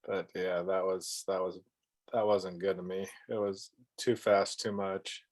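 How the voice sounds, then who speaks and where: Calm plainspoken man, lowish voice. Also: unhurried. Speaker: Bible study group member. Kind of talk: casual online group chat.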